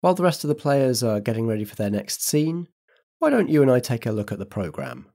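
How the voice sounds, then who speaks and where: A British man runs a tabletop role-playing game as its host. He talks casually, moving between narrating scenes and explaining rules, in a medium-pitched voice. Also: wildly swooping pitch, fast, mellow. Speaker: game master and podcast host.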